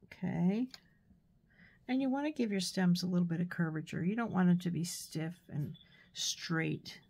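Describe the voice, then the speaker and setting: A woman with a stuffy nose from a cold, giving a relaxed, chatty painting tutorial with fillers and soft asides. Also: fairly low pitch, moderate pace. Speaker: art tutor.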